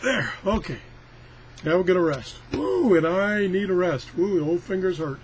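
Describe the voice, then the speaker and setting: A man with a slightly high voice chats his way through a hands-on demo. He rambles in a folksy, self-deprecating way, as casual as can be. There is some noise behind him. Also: expressive, normal speed. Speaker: product tester presenting a radio review.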